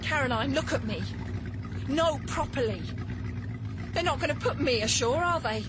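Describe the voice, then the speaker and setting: A woman's voice: sits high, animated, moderate pace. An actress in a radio drama playing a frightened, angry young mother pleading for her life. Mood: frightened, urgent. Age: young adult. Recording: noisy boat engine and water.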